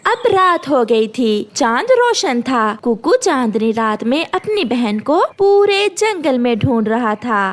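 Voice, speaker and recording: A woman's voice: high-pitched, sing-song, slow, few pauses. A woman narrating a scripted children's cartoon story.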